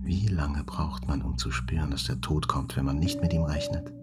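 An actor playing a reflective, haunted journalist, narrating a literary audio drama in a deliberate, introspective manner. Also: male voice, extremely low pitch, music in the background. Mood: hushed dread. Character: reflective, haunted male journalist recounting an attack he survived.

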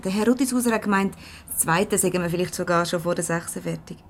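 Actress as a chatty young Swiss secretary. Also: female voice, on the low side, fast, slight background noise, lightly acted. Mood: businesslike. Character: young female office secretary, chatty, easily exasperated. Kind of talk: Swiss radio comedy acting, 1970s.

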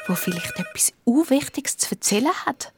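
Woman playing a high-voiced earnest little Swiss girl. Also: animated, normal speed, slight background noise. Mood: musing. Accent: Swiss German. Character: young girl, earnest, guarded but spirited. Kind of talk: children's radio play.

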